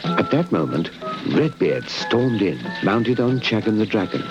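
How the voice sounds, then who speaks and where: A man's voice: on the low side, very animated, a little slow. A British man narrating a scripted children's puppet story, on a muffled old videotape. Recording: muffled old VHS tape. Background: music.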